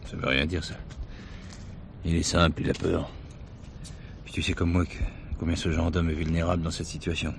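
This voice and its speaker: An actor playing a calm, shrewd police commissioner in a French stage thriller, questioning with deliberate politeness. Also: male voice, sits low, vocal fry. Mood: calm, serious. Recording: 1970s stage play recording, some hiss.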